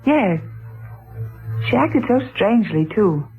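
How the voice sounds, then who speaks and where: An actress playing an inquisitive, sociable wife in a 1940s radio drama, chatty and genteel. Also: female voice, medium pitch, sing-song, slow, faint music behind. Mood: curious. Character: inquisitive, sociable American wife among friends. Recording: tinny vintage 1940s radio recording.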